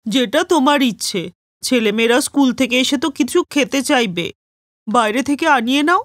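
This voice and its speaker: A woman's voice: high voice, normal speed, no echo, studio quality, natural voice. A woman voicing an elderly grandmother and mother-in-law, fretful and chiding, in a cartoon story. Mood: concerned.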